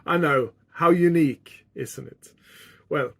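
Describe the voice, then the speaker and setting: A man's voice: on the high side, slow pace, vocal fry. A middle-aged man from Sweden with a Swedish accent, chatting casually to viewers as a music vlogger. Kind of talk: casual chat to viewers, reminiscing.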